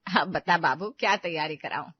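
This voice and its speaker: A woman's voice: on the low side, moderate pace, husky, dull audio, voice pushed down. A woman voicing a practical, welcoming older mother-in-law in a homely family drama.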